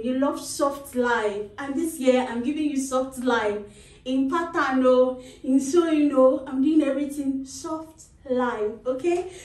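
High-voiced Nigerian woman teaching sewing. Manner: lively, animated, tags phrases with "okay?". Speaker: sewing tutor. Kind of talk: chatty tutorial with announcements. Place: small indoor sewing studio. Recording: roomy, slightly distant.

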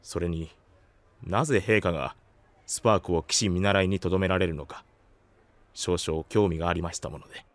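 A man playing a measured, respectful retainer to a king, courteous and formal, in an anime audio drama. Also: sits low, fairly even intonation, no echo. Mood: composed.

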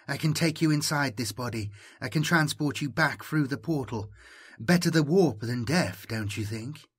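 A man's voice: mid-range voice, normal speed, breathy edge, close to an everyday voice. Man voicing an ancient moaning, seductive robot mind. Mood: coaxing. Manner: moaning, droning, hypnotic.

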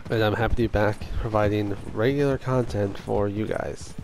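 A young man with a hoarse voice chatting casually over his gameplay as a gaming host, swearing when things go wrong. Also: on the low side, fairly even intonation, normal speed, darkish tone, a little hiss or hum. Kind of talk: casual let's-play commentary.

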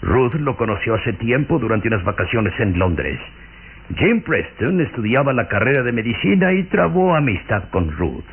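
Pompous old aristocrat for radio drama, gravelly, animated, disdainful, on a muffled old recording. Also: male voice, mid-range voice, moderate pace, a little hiss or hum. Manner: pompous, scornful. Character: haughty, snobbish old aristocrat father. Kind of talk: Mexican radio drama acting.

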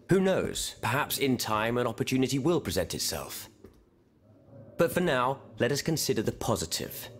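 A man's voice: medium pitch, slight background noise, lightly acted. A man voicing an eloquent, proud revolutionary lawyer in a video game, with formal rhetorical delivery. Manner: formal, rhetorical, sprinkled with French phrases. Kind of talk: video game cutscene acting.

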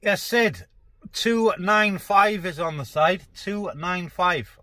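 A man's voice: sits high, slow pace, bright, forceful tone, no room sound. A man chatting casually as a CB radio operator, speaking colloquially and repeating phrases, inside a parked car.

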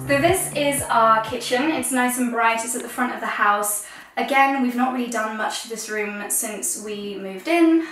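A young woman with an English accent, giving an informal, chatty house tour to camera from indoor rooms. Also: a little high. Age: young adult. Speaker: lifestyle vlogger and host. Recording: echoey rooms, distant mic, some noise.

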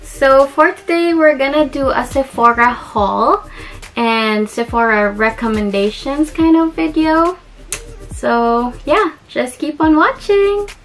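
A woman's voice: high-pitched, expressive, slow pace. A young woman with a Filipino accent, talking casually through a beauty haul with lots of ums and little giggles. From Philippines. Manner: chatty, giggly, with many ums and restarts. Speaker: beauty vlogger.

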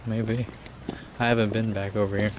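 A man's voice: lowish voice, level tone, moderate pace. A young man filming himself exploring an abandoned factory, talking casually with a self-mocking, easygoing manner. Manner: laid-back, self-mocking, chuckling. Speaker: vlogger filming an urban exploration. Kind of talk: casual walking commentary. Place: outdoors and inside an abandoned factory. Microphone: handheld camera mic. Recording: camera mic with outdoor noise.

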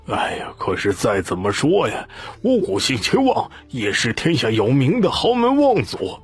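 A man voicing a genial old nobleman in an audiobook, patient and persuasive, with drawn-out, coaxing phrases and sighing interjections. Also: medium pitch, huge pitch swings, husky, soft background music, exaggerated voice.

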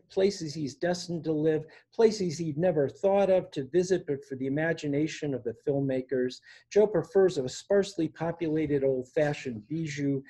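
A man in his sixties reading poetry aloud in a measured way, pausing between phrases, recorded at home on a video call. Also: on the high side, warm tone, breathy edge. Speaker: poet reading own work. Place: indoor room at home. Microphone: device mic on a video call.

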